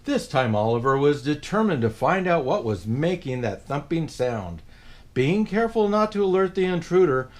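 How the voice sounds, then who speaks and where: Animated man reading a picture book aloud. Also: a little high. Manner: animated, with playful asides to young listeners. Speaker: storyteller in a Santa persona.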